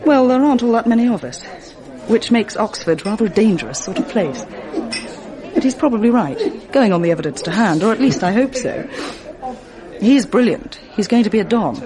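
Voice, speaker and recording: An actress playing a clever, self-assured young female Oxford student at a party, brisk and teasing in a radio drama. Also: fairly high pitch, expressive, a crowd in the background, lightly acted. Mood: lively. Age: young adult. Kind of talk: 1980s radio drama acting.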